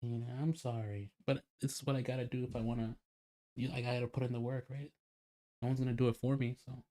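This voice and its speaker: A man's voice: medium pitch, level tone, quick pace, dark tone. A man talking casually and privately in a placating, apologetic manner. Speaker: streamer.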